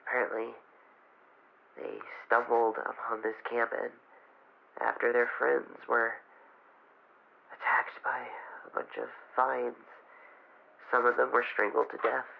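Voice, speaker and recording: A man playing a frightened young fox boy who records a halting, weary log in an audio drama. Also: medium pitch, completely flat delivery, creaky. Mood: weary, grave. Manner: halting, hesitant, weary delivery. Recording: muffled noisy handheld radio recording.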